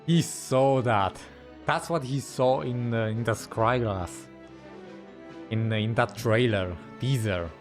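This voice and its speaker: A man's voice: medium pitch, slow. A man streaming a game, giving short, casual, murmured reactions as he follows the story. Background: game music.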